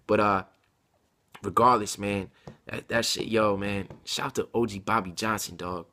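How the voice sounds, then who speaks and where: A man's voice: fairly low pitch, fairly even intonation, moderate pace, bright, forceful tone. Young man rambling in casual slang. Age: 20s. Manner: slangy, emphatic, rambling street-style talk. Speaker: rapper and video blogger. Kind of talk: casual vlog talk to camera. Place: home room with bookshelves, indoors. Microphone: laptop webcam mic at arm's length.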